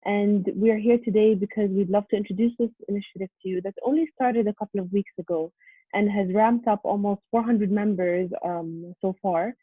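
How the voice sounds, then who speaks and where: A woman giving a prepared, earnest presentation over a video call, steady with frequent um fillers. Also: mid-range voice, moderate pace, slightly rough, dry recording. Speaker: conference presenter. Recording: tinny phone-like line.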